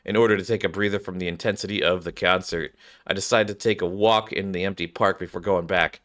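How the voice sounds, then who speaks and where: A man's voice: low voice, fairly even intonation, quick pace, fairly bright tone. A man doing casual game commentary, reading dialogue aloud and stumbling over written words as he reacts. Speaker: game streamer. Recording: background game music. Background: game music.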